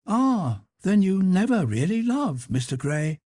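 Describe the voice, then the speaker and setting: A man's voice: sits high, big pitch swings, mellow, no echo, clean studio sound, voice pushed up, full character voice. A man reading a novel aloud, voicing a witty, teasing aristocratic duchess with an arch manner. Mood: playful. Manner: arch, teasing, quick-witted banter. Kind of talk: audiobook reading.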